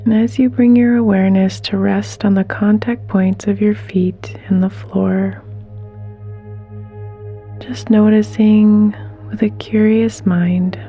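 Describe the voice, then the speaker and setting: Slow, mellow woman guiding meditation. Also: medium pitch, little pitch movement, faint music behind. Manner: gentle, soothing, unhurried pauses. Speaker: guided meditation instructor. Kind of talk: guided meditation.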